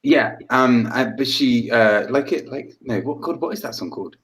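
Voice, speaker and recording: A man's voice: medium pitch, normal speed. A man co-hosting a casual chat, hesitant and searching for words. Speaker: co-host.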